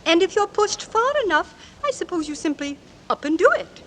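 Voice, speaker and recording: A woman's voice: very high voice, lively intonation, moderate pace, a bit bright. An actress playing a chatty, tactless middle-aged mother who prattles on in a 1940s radio drama. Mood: gushing. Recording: old radio recording.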